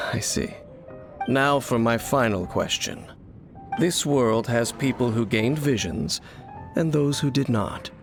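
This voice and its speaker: Enigmatic game wanderer: low, unhurried, coolly formal and cryptic, music behind. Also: male voice. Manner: measured, cool, formal and cryptic. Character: solemn, enigmatic male wanderer hunting dark forces. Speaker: video game character. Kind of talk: video game voice acting. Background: background music.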